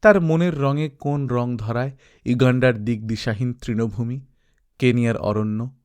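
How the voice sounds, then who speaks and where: A man narrating a literary story as an audiobook, reading expressively and reflectively with dramatic pauses. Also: mid-range voice, steady tone, a little mellow, clean tone.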